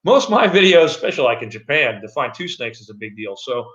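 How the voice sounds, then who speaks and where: A middle-aged man with a loud voice, chatting loosely on a live stream from an echoey room at home, with many fillers and restarts. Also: mid-range voice, very animated, quick pace, highs cut off. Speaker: channel host. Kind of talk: casual live-stream chat.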